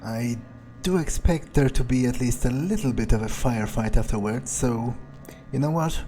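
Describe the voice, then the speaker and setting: A man's voice: medium pitch, moderate pace, warm tone, soft background music, lightly acted. A man playing a cold, thrill-seeking vampire vigilante, musing aloud in a sardonic way as he narrates a game. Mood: casual relish. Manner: musing, hesitant, sardonic, swearing. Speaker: gamer role-playing a character in a playthrough.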